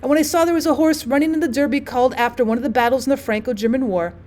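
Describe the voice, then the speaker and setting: A woman's voice: sits high, racing, darkish tone, natural voice. A woman voicing a disapproving, upper-class older lady hosting a house party in a storybook reading. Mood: self-satisfied.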